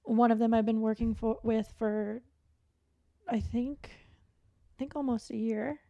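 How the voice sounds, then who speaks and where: A woman guest on a podcast, an athlete and coach, talking candidly and casually in a halting, reflective way full of "like". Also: a little high, steady tone, creaky, mellow, dry recording, dull audio. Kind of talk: candid casual interview chat.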